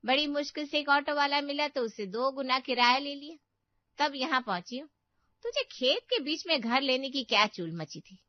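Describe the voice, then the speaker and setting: Woman acting nagging elderly aunt, high voice, boastful, scolding, cartoon family drama, dull dry recording. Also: expressive, moderate pace, no echo. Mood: scolding, complaining. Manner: scolding, complaining, exclamatory. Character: boastful, nagging elder aunt, fault-finding older sister. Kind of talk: Hindi cartoon family story dubbing. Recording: dull, muffled studio voice track.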